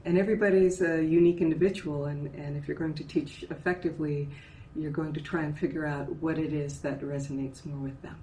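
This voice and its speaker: A woman with a deep, low voice talks through voice and dialect coaching in a relaxed, explanatory way. She often checks in with "right?". The recording is roomy and echoey, with faint ambience behind her. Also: fairly quick, slight background noise. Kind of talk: casual conversational interview.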